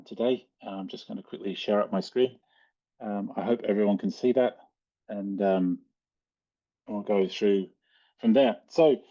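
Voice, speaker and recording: A man with an Australian accent giving an informal slide presentation in a halting, hesitant way, with frequent ums. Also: on the low side. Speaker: guest speaker, cave and mine diver. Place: online video call. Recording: dull, lo-fi online call audio.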